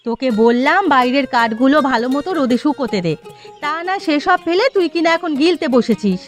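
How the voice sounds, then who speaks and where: Woman playing high-voiced, chiding village mother. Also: lively intonation, brisk, faint music behind. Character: practical village mother, scolding but fond. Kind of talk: cartoon story dialogue.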